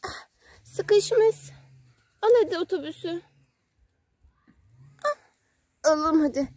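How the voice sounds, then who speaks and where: A woman with a high voice talks to a small child during play. She goes slowly, repeating simple phrases and questions in a playful sing-song way. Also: lively intonation, noisy background. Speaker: parent playing with a young child on a kids' channel. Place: outdoors at a sand and gravel pile.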